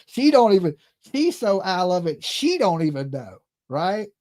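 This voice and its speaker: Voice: medium pitch, very animated, measured pace, darkish tone. Crude, mocking call-in stream host, sex ambiguous. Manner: crude, mocking, slangy, profane; peppers talk with "right?" and "okay". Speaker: live call-in show host. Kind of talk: casual live-stream chat and commentary.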